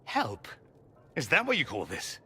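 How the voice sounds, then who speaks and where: A man's voice: mid-range voice, wildly swooping pitch, a little slow, pushed and bright, slightly rough, exaggerated voice. An actor playing a proud, witty, wounded young nobleman mage in a video game, with an arch, articulate, theatrical delivery. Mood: bitter, sarcastic. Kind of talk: video game cutscene acting.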